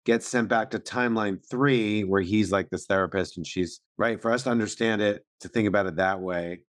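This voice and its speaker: A man's voice: on the low side, fairly even intonation, normal speed, no echo, clean studio sound. A man co-hosting a casual podcast recap, explaining things in an informal, slangy way. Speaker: podcast co-host.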